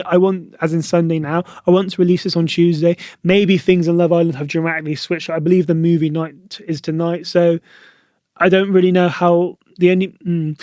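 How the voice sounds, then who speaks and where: A man's voice: on the high side, no echo, clean studio sound. A young British man hosting a solo podcast, talking casually to camera and chatty, with lots of "like" fillers. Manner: chatty, frequent "like" fillers, opinionated. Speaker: podcast host.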